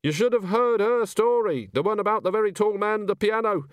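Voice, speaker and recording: A man's voice: sits high, fairly quick, clean tone, no echo, pitched above the performer's own voice, close to an everyday voice. A man doing an aggrieved coach driver. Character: shaken, indignant male coach driver.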